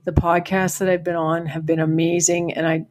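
An older woman coach from Canada talks in a low voice that keeps an even, level line. She sounds upbeat and encouraging. She is heard over a remote call as a podcast guest. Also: normal speed, a little hiss or hum. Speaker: farm family coach. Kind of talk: podcast interview.

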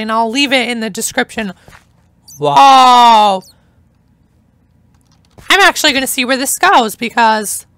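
A young woman chatting casually through a game playthrough, hoarse from talking, rambling and enthusiastic. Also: on the high side, sharp tone, dry recording. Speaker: gameplay narrator. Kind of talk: casual let's-play commentary.

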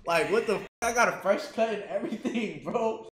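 A young man hosting a live stream, chatting casually in a living room, jumping between mumbles and loud laughing outbursts. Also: sits high, brisk, reverberant. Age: young adult. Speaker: streamer host. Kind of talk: casual chat.

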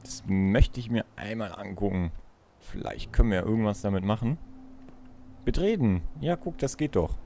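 A man's voice: on the low side, expressive, moderate pace, vocal fry, dark tone, slight background noise. A young man giving casual let's play commentary, chatting and thinking aloud as he goes. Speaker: let's player.

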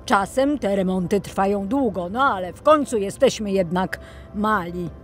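A woman's voice: medium pitch, lively intonation, moderate pace, soft background music, natural voice. A woman voicing an earnest, friendly talking toy fire truck in a children's audio tale. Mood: earnest, confiding.

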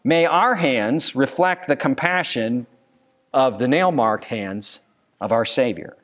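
A man's voice: mid-range voice, slow pace, a bit bright. A man in his thirties, a pastor preaching a sermon at a church pulpit, earnest and given to rhetorical questions. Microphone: pulpit microphone.

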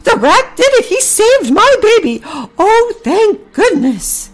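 A woman voicing a frantic mother goose in a children's story, with a shrill honking squawk and exclamations. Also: very animated, takes it slowly, soft background music, exaggerated voice. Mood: overjoyed, relieved. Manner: exclaiming, honking and hissing between pleas.

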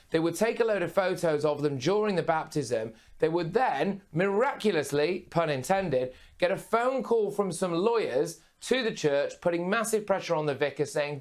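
Brisk, emphatic young man. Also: fairly high pitch. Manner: brisk, emphatic, punchy phrasing. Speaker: TV presenter guest. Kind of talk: opinionated news commentary. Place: remote studio with city view.

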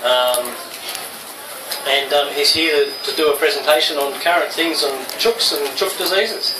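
A middle-aged man introducing a speaker in a relaxed, casual way with plenty of fillers. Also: on the high side, moderate pace. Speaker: expo organiser introducing speaker. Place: large tent at an outdoor expo. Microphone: handheld mic, far camera. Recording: echoey tent from far, loud noise.

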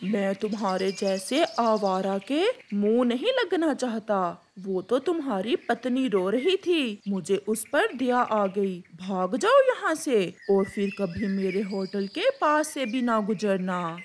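A woman's voice: mid-range voice, very animated, slow pace, hardly any pauses, slight background noise. A woman voicing a stern pigeon uncle who runs a tea stall and scolds, in a children's cartoon. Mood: indignant.

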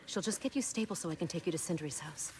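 An actress playing a protective warrior goddess and healer, firm and reassuring, in a video game cutscene. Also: female voice, fairly low pitch, quick pace, noisy background, lightly acted. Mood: firm reassurance.

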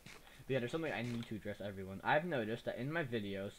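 A man's voice: lively intonation, fast. A young man with a slight lisp, rambling casually through a let's play recorded on a cheap microphone. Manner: rambling, chatty, easily distracted. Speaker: gaming YouTuber. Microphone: cheap desktop mic. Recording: low-quality mic with faint noise.

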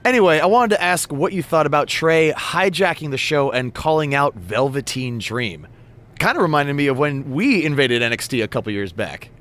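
Lively, wry podcast host. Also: male voice, a little high, normal speed. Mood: chatty, wry. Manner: wryly exasperated straight man. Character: chatty, patient wrestler podcast host man. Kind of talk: podcast banter in a video game.

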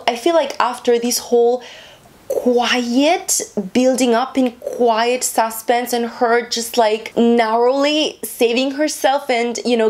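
A woman in her thirties chatting casually to camera about books she has read, animated and talking with her hands, recorded indoors on a camera in front of her. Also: on the high side. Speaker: book vlogger. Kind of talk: casual book review chat. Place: small indoor room, seated at camera.

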